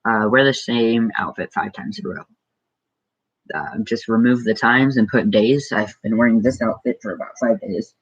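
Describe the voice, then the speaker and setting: A man's voice: lowish voice, normal speed, fairly bright tone, breathy edge, no room sound, dull audio. A teenage boy with an Arizona accent, hosting a casual live-stream Q&A from his room, reading out viewer questions and answering with many ums and likes. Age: teens. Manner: hesitant, lots of ums and likes, reads questions aloud then answers offhand. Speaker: small YouTuber hosting a live Q&A. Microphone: computer mic.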